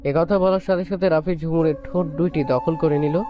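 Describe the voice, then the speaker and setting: A man reads a romantic story as a scripted narration. His voice sits high for a man, with clear fiddle-tinged music playing behind it. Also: steady tone, a little fast.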